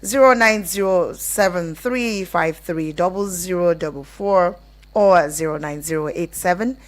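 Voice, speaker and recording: Female call-in radio host, Nigerian accent, slightly low pitch, live relationship programme.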